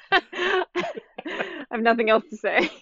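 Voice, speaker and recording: A woman co-hosting a comedy podcast, her voice sitting a bit higher than most. She is laid-back and giggly, dropping deadpan asides. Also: slow pace, no echo, lo-fi sound. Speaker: podcast co-host. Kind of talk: casual comedic chat.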